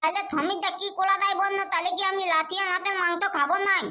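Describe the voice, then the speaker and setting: Childlike cartoon villager, extremely high squeaky voice, bright, babyish lisp, nagging haggler, Purulia Bengali accent. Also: steady tone, quick pace, full character voice. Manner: pleading, nagging village bargainer. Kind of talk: comedy cartoon dialogue.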